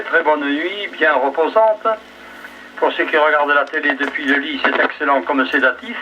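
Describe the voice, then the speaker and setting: A man's voice: fairly high pitch, sharp tone. A chatty, joking man, talking casually over a two-way amateur radio. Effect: two-way radio transmission. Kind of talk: casual radio chat with sign-offs. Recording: dull, noisy ham radio relay, distant.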